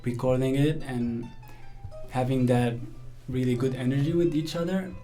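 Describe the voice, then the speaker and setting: A young man from Palestine, a musician, speaking reflectively in a documentary interview with frequent pauses and fillers. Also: mid-range voice, mellow, soft background music. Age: young adult.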